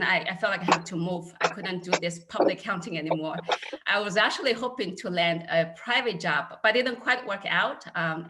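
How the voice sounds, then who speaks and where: A woman's voice: on the low side, noisy background. A woman in her thirties from China with a Chinese accent, speaking candidly as an accounting panelist over a video call. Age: 30s. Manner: earnest, candid storytelling. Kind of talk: casual panel talk.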